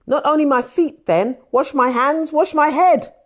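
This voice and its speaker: Woman acting eager, insistent male disciple, raised pitch. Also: unhurried. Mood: insistent, pleading. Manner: emphatic, pleading. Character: eager, insistent male disciple Peter protesting to his master.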